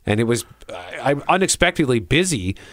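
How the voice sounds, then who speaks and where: A man's voice: medium pitch, sing-song, a little fast, fairly bright tone, dry recording. Man chatting casually, dry close sound. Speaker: show co-host. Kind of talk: casual chat reviewing entertainment.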